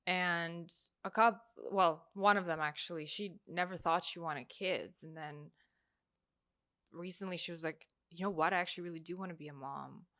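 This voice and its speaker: Bright, slightly low woman host, Russian first language, loose musing reaction chat. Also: moderate pace. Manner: chatty, musing, trailing off with fillers. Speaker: podcast host. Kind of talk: casual reaction commentary.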